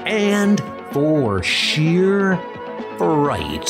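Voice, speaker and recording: A man's voice: a little high, big pitch swings, drawn-out words. A man narrating a comic adventure in a dramatic, scripted style. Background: music.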